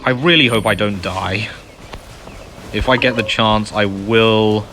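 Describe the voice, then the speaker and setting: A man's voice: fairly low pitch, measured pace, slight background noise. Chatty gamer man muttering over gameplay. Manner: chatty, muttering asides to himself. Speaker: gamer playing through a story quest. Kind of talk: casual let's-play commentary. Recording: commentary over loud game audio.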